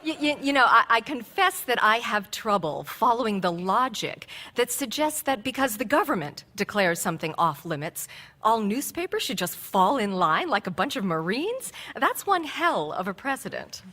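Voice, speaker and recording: An actress playing a sharp-witted newspaper editor in a radio drama, sardonic and quick to retort. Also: female voice, a little high, big pitch swings, exaggerated voice.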